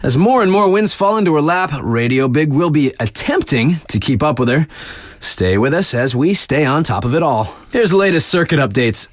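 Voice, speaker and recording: A man playing a cool, flirty, hyped snowboarding radio DJ in a video game, with slangy, laid-back showmanship and drawn-out emphasis. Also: fairly high pitch, animated, no room sound. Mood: upbeat, confident. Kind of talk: radio DJ competitor spotlights and sports updates.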